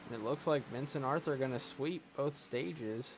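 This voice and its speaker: A man calling a sim race, casual and rambling, with asides. He is losing his voice and clears his throat now and then. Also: medium pitch, normal speed. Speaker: race commentator. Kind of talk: sim racing play-by-play commentary. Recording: loud engine noise behind. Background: race car engine sounds.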